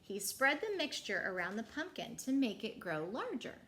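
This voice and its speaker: A woman storyteller reads a picture book to young listeners. Her voice is fairly high and highly animated in pitch, with deliberate, dramatic phrasing that stretches key words. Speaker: children's storyteller. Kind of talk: expressive picture-book read-aloud.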